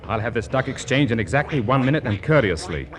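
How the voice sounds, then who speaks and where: An actor playing a peevish, self-important department store heir in a 1940s radio comedy, pompous and easily indignant. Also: male voice, medium pitch, lively intonation, fast talker, natural voice. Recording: hissy vintage 1940s radio broadcast.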